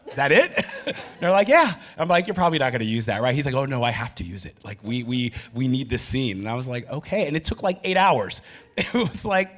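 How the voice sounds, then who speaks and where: A man moderating a panel before a live audience, telling lively, chatty anecdotes. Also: medium pitch, a little fast. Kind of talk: casual panel talk.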